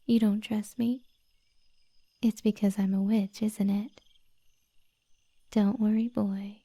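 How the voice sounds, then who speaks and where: A woman playing a kind, flirty witch healer in a soft-spoken, half-whispered ASMR roleplay, slow, soothing and gently teasing. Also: mid-range voice, flat delivery, breathy edge. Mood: teasing, coaxing.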